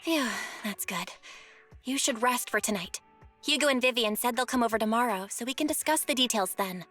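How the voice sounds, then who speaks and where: A woman's voice: fairly high pitch, animated, a little fast, fairly bright tone. A woman voicing a caring young woman who checks on her sibling in a concerned, chatty way. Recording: game cutscene with faint music.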